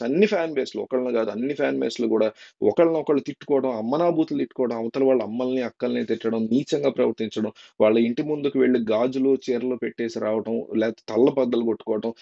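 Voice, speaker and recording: A man's voice: mid-range voice, gravelly, dark tone, highs cut off. A man giving informal, earnest and opinionated spoken news commentary for a video.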